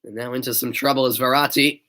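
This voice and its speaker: A young man with a stuffy nose calls the match live at a steady clip, naming each pass and shot. He breaks off now and then to chat casually with viewers. His pitch sits in an ordinary middle range. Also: fast. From northeastern United States. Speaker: play-by-play commentator. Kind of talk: live amateur sports commentary.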